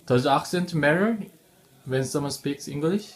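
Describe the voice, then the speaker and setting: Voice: mid-range voice, measured pace. An interviewer asking short set questions. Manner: brief, repeats set questions.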